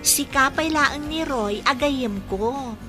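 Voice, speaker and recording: A woman's voice: high voice, slow, over music. An actress with a Filipino accent playing a sweet, modest, studious schoolgirl in a radio drama. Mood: earnest, teasing. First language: Ilocano.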